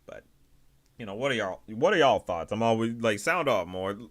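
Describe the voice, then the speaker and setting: A man hosting a livestream, giving casual, rambling commentary in a halting way, often repeating and rephrasing himself. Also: lowish voice, lively intonation, a bit bright. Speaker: livestream host reviewing indie animation.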